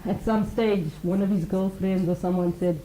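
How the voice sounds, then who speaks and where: A woman's voice: medium pitch, fairly even intonation, moderate pace, mellow, reverberant, slight background noise, poor audio. A woman who teaches mindfulness and talks earnestly on a radio show, explaining ideas through everyday examples and acted-out quotes. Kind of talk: conversational radio talk.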